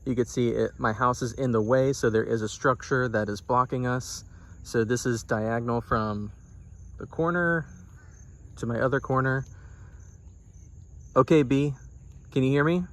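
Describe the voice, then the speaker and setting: A man's voice: mid-range voice, fairly even intonation, normal speed, pauses often. A man, sounding middle-aged, gives a casual product demonstration in a plain-spoken, explanatory way. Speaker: product reviewer.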